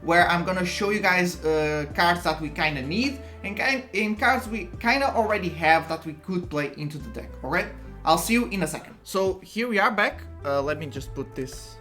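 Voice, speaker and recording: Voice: medium pitch, animated, moderate pace, pushed and bright, faint music behind. Chatty Portuguese-accented card game explainer. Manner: chatty, restarts sentences, light chuckles. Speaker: card game content creator. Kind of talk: casual explainer talk to camera.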